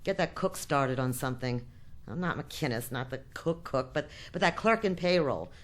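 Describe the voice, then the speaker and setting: Shrewd woman in charge, low voice, lively delivery, commanding, guarded, radio drama. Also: normal speed. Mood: brisk, harried. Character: shrewd, bossy woman running a frontier outpost.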